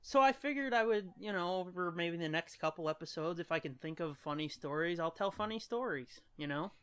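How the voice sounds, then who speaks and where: A man's voice: a little high, expressive, a little fast, a bit bright, clear, resonant tone, no echo, clean studio sound. An American man co-hosting a podcast, telling stories casually in an irreverent, profane, joking way. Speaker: podcast co-host. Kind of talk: casual chat, storytelling.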